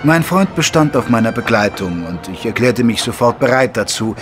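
Gentleman narrator played by a male actor, measured formal storytelling for audio drama, mid-pitched, clear music bed. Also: big pitch swings, natural voice. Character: observant gentleman narrator, detective's loyal companion. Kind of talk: scripted narration.

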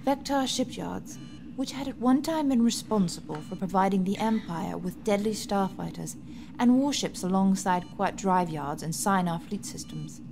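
A woman's voice: medium pitch, normal speed, vocal fry, over music, close to an everyday voice. Composed, grave noble rebel leader, acted by a woman. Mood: grave briefing. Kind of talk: science fiction audio drama acting.

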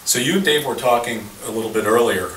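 Bright-voiced man in his fifties, roomy. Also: mid-range voice, fast talker. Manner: easygoing, laughs often. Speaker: host. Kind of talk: casual interview chat. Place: office room, indoors. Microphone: far camera mic. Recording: roomy, distant, faint hiss.

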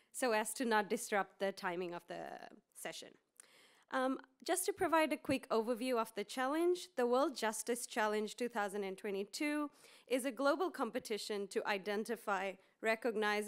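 Smooth-voiced woman moderating a panel. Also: on the high side. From Fiji. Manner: frequent ums and small restarts. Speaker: session moderator. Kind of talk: conference panel introduction. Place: conference room with seated audience. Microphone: podium mic.

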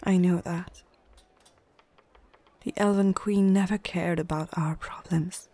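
Girl doing an orc chieftain lady for asmr roleplay, breathy whispery and husky, real slow, stern and threatening. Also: fairly low pitch, fairly even intonation, mellow, no room sound. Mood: grim, threatening. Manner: slow, deliberate, short measured phrases. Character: stern female orc chieftain, proud, menacing, weary.

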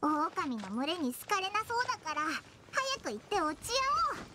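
A woman's voice: very high-pitched, sing-song, normal speed, heavy background noise. A woman voicing a tiny, eager, childlike fairy companion in an anime-style game, chattering in a chirpy way. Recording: loud game sound effects behind.

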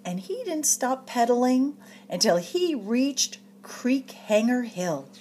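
A woman reading a picture book aloud to children in an expressive, dramatic storyteller's way, drawing out suspenseful phrases. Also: on the high side, slow, a little hiss or hum. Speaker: storytime reader at a public library.

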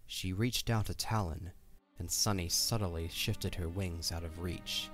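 Low-voiced man narrating fantasy. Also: a little slow, a bit bright. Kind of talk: scripted fantasy audio drama narration. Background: music.

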